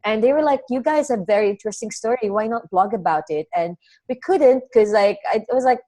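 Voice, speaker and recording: Young woman, chatty guest telling her story in a relaxed webinar. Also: a little high, a little fast. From Philippines. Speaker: travel blogger guest. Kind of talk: casual webinar interview.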